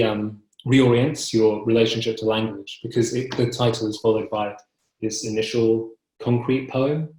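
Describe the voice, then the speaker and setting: A young man speaking as an interviewed poet, reflective and halting, often correcting himself, over a video call. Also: fairly low pitch, a little mellow. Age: young adult. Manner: hesitant, halting, self-correcting. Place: book-lined room at home, indoors. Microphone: computer mic on video call. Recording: roomy, echoing video call.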